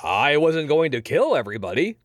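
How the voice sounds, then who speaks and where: A man playing a villainous game show host in an audio drama, with drawn-out, teasing showman patter. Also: a little high, big pitch swings, no room sound, studio quality. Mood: insistent. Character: showboating male villain game show host, toying with captives.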